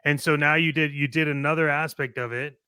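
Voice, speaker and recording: A man co-hosting a casual talk show, conversational and halting, often repeating his words as he thinks. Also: on the high side, fairly even intonation, pushed and bright, clear, resonant tone, dry recording. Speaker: show co-host. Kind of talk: casual chat about toy collecting.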